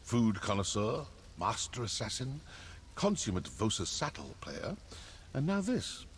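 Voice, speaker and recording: Game rogue: boastful male killer-for-hire, wry, animated low delivery, faint background noise. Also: a little slow. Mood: smug. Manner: smug, dryly witty. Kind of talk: video game character dialogue.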